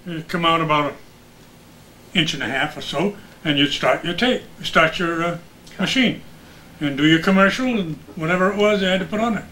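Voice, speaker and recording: A man's voice: on the high side, normal speed, pushed and bright, low-quality recording. An older man, a radio veteran, casually reminiscing among friends. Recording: clear background noise.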